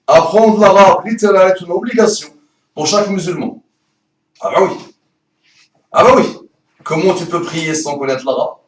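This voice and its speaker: Man with an Arabic accent, not a native French speaker, rolled R's, playful and emphatic teacher's pitch; high voice, echoey room, set back from the mic. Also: moderate pace, long pauses, distant mic. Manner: playful, emphatic, rhetorical questions. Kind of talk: promotional course pitch.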